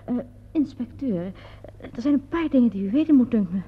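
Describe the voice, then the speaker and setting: Frank, watchful theatre woman, radio play. Higher-pitched voice with some rasp, knowing delivery, dull vintage sound. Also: expressive, fairly quick. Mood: frank, wary. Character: frank, wary theatre woman warning the inspector. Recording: dull, muffled old radio recording.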